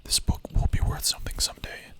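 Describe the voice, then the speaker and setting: A young adult streamer whispering ASMR-style, chatting casually with chat, full of filler words and the odd swear. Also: quick pace.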